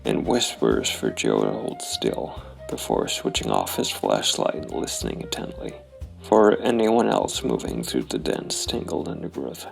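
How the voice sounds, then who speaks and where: A man narrating a solo noir role-playing game as its host, telling the story in an unhurried, deliberate way. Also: a bass voice, very animated, gravelly, faint music behind, low-quality recording.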